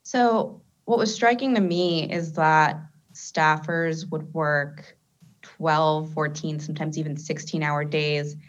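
Low-voiced woman reporter on dull line. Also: slow. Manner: informative, steady. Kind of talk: radio panel discussion.

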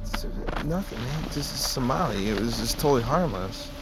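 Man playing a slangy stoner drug dealer, animated. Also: medium pitch, a little fast, over loud music, lightly acted, low-quality recording. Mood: nervous, panicky. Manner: slangy stoner talk, peppered with bro and man. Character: laid-back, evasive party drug dealer, young man. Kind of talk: naturalistic audio drama acting. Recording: faint ambient background noise.

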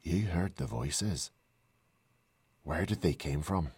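A hesitant, earnest young woman from a storybook, speaking softly with a wistful edge, as a male narrator puts her on. Her voice sits raised yet stays husky and low-set for a woman, and it sounds dry and close with no room around it. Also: lively intonation, slow pace, dry recording, exaggerated voice.

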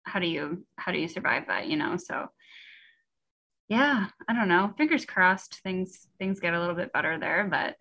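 A woman's voice: fairly low pitch, animated, moderate pace, creaky, pushed and bright. A young woman from Ontario, a guest musician chatting casually in an interview, laughing often and trailing off with fillers. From Ontario, Canada.

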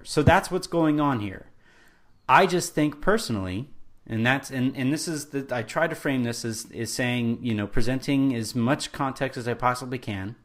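An earnest man co-hosting a sports talk podcast, his voice sitting at an ordinary middle pitch. He lays out his view carefully, one point after another, often restarting his sentences as he thinks them through. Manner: earnest, builds his argument step by step with restarts. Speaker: podcast co-host.

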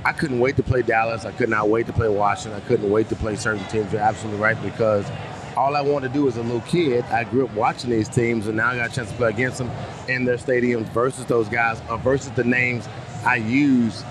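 A man who used to play co-hosts a sports chat in an easy, mid-pitched voice, often repeating himself as he starts a thought. Loud crowd and stadium noise sits clearly behind him. He sounds loose and conversational. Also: fairly even intonation, fairly quick. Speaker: former player, co-host. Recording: noisy postgame stadium setting.

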